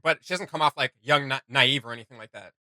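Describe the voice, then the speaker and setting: A man's voice: medium pitch, fast talker, sharp tone, no room sound. A young man chatting casually and enthusiastically as a reaction video host, in slangy everyday language. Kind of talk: casual chat, fan reaction.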